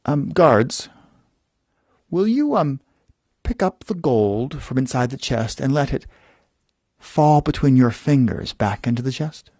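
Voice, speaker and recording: Wise storybook judge played by a male narrator: measured, solemn, a little hesitant, very dry sound. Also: medium pitch, lively intonation, mellow, dry recording. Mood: mild, hesitant. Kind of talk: storybook character voice.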